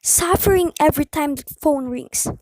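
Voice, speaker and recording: A woman's voice: sits high, moderate pace, a little mellow, no echo, natural voice, rough recording. A woman playing a nervous, imperious bedridden wife in a radio play, querulous and melodramatic. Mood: exasperated, indignant.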